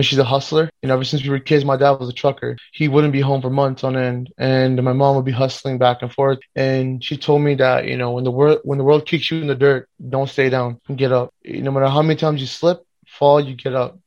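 A man's voice: mid-range voice, fairly quick, hardly any pauses, dull audio. A young man from Miami, a music producer talking casually in an interview, rambling with lots of fillers. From Miami, United States. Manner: relaxed, rambling, many fillers.

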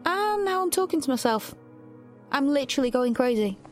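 An actress playing a despairing, isolated young rebel in a dystopian audio drama, narrating her ordeal in an introspective, halting way. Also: high-pitched, sing-song, faint music behind. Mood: restless. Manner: introspective, halting, self-questioning.

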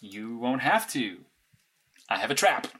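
A man's voice: medium pitch, very animated, unhurried, bright, forceful tone, husky, full character voice. A man acting as a matter-of-fact, analytical vigilante in an audio drama, clipped and insistent. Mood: confident, planning. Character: blunt, analytical, sleepless male vigilante mastermind. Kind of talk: naturalistic audio drama dialogue.

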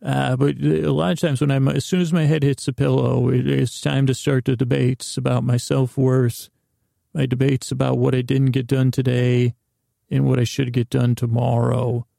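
A male podcast host with a very gravelly, fry-laden voice and a narrow, even pitch range. He rambles through a bedtime monologue, halting and interrupting himself in a silly, meandering way. The sound is dry and close to the room-free ideal. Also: no echo. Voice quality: gravelly, creaky.

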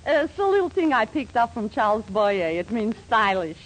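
An actress playing a brassy, middle-aged wisecracking shopkeeper with a New York Yiddish accent, in 1940s radio comedy style. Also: female voice, a little high, moderate pace. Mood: amused, brassy. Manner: wisecracking, teasing, animated. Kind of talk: 1940s radio sitcom acting. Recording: noisy old radio recording, studio audience.

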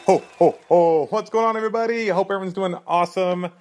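A man hosting his own podcast in earnest, rambling casual talk, a little hoarse from a cold. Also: sits high, fast, darkish tone, faint music behind. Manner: earnest, rambling, frequent fillers and restarts. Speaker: podcast host.

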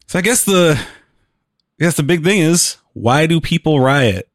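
A man's voice: a little high, animated, measured pace, no echo, clean studio sound. A man co-hosting a casual podcast chat, talking loosely and laughing often as he restarts his sentences. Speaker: podcast co-host.

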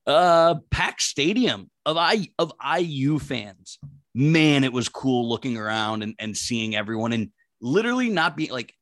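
Animated, rambling man on a podcast. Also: unhurried, no room sound, studio quality. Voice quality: deep voice. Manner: rambling, animated, lots of fillers. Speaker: podcast co-host. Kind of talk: casual sports chat.

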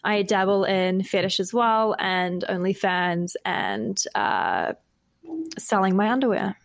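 A woman's voice: medium pitch, animated, takes it slowly, creaky, dry recording. Kiwi accent woman, casual podcast guest chat, dry close recording no room sound. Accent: New Zealand.

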